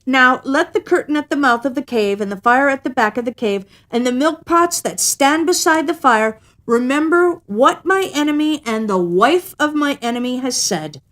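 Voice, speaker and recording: A woman's voice: high voice, animated, a bit bright, pitched above the performer's own voice. A woman voicing a proud, cunning male wild cat in a storybook, declaiming in a haughty way. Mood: solemn, triumphant. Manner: haughty, declaiming, pleading with drawn-out words.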